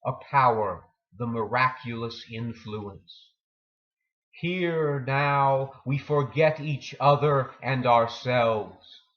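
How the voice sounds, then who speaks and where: A man hosting a poetry reading, talking and reciting in a measured, deliberate manner. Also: medium pitch, muffled recording. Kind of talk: informal introduction and poetry recitation.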